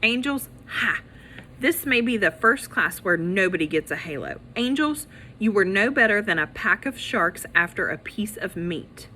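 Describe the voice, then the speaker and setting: A woman's voice: medium pitch, animated, normal speed, bright, forceful tone, a little hiss or hum. A woman voicing a stern, sarcastic female third-grade teacher in a storybook, scolding in clipped phrases.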